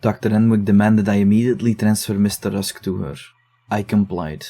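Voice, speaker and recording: A man's voice: lowish voice, steady tone, brisk, warm tone. An actor playing a thoughtful young man who reasons through case files in a reflective, analytical way. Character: thoughtful young male case-file investigator.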